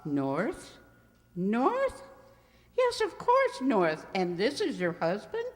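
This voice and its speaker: A woman acting a fluttery, excitable spinster librarian in a radio play, often stammering and flustered. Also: medium pitch, slow pace. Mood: delighted surprise. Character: fluttery, gushing spinster college librarian, Miss Twig. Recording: reverberant hall.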